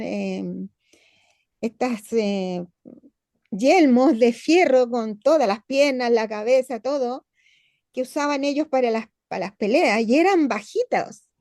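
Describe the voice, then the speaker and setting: A woman in the audience, making a lively comment on a video call and stopping now and then to search for words. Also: fairly high pitch, no room sound, clean studio sound. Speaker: audience member. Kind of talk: conversational comment.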